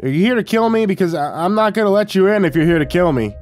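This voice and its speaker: A man voicing an anxious, sarcastic young man in an audiobook, flustered and exasperated, with a squeaky edge. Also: lively intonation, quick pace, darkish tone. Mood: alarmed, suspicious. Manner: squeaky, stammering, screeching when angry. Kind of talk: audiobook character voice.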